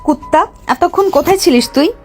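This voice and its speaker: A woman's voice: very high-pitched, animated, fairly quick, soft background music. A woman playing a feisty but loving young bride in an audio love story. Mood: angry. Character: feisty, loving young bride, older than her groom.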